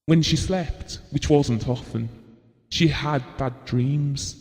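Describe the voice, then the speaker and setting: A man playing a gentle, grieving husband and bereaved father in an audio drama, speaking tenderly and reflectively. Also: medium pitch, takes it slowly. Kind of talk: intimate audio drama monologue. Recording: long reverberant hall sound.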